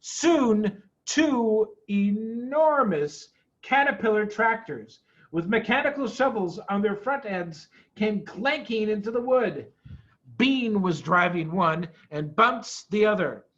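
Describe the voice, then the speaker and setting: Slow, very animated male storybook reader. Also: high-pitched, muffled recording. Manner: theatrical, emphatic. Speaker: storybook reader, school head. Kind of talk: animated read-aloud of a children's story.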